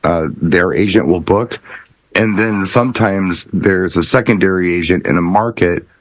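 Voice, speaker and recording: Low-voiced man chatting, dry close sound. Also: normal speed, dry recording, poor audio. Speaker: podcast co-host. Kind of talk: casual panel chat.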